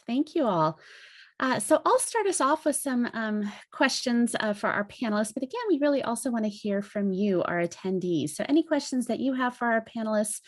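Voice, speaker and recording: A woman moderates an online alumni panel, steering the questions. She speaks in an organized, inviting way. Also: mid-range voice.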